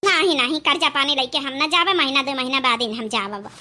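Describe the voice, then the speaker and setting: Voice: high-pitched, brisk, sharp tone. A performer voicing an elderly, grumbling village aunt in a comic cartoon family drama, fussy and plain-spoken, with an Awadhi accent. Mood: grumbling, hesitant.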